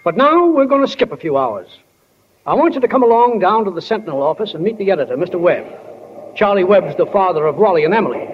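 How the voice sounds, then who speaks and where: An actor playing a wry, plainspoken small-town narrator in a 1940s radio drama. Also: male voice, high voice, sing-song, fast talker, a little hiss or hum. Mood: folksy, wry. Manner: plainspoken, drawling folksy wording. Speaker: stage manager narrator. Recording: muffled old 1940 radio broadcast.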